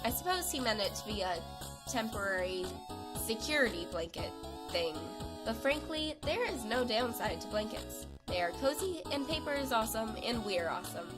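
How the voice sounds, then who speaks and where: A woman's voice: fairly high pitch, animated, normal speed, over loud music, lightly acted. A woman voicing a tough, slangy young girl fighter in a comic dub, talking in a brash, offhand way. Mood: breezy, smug.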